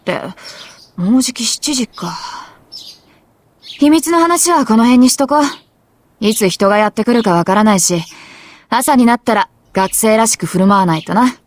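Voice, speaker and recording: A woman's voice: on the high side, lively intonation, no room sound, natural voice. A woman voicing a brash sporty schoolgirl rival. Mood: teasing. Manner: teasing and blunt, quick retorts. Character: brash sporty schoolgirl, archery club captain, a friendly rival. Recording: game voice clip under game music.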